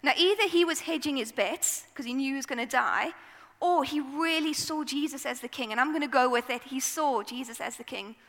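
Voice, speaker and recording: A woman with a South African accent preaching a sermon into a microphone, telling the story in a relaxed, conversational way. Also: sits high, fairly quick, long reverb tail.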